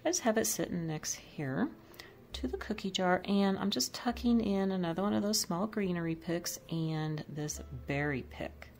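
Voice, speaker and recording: A woman's voice: fairly low pitch, moderate pace, gravelly, a little hiss or hum. A woman chatting casually as she decorates her home kitchen, thinking aloud about each piece. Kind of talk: casual voice-over demo.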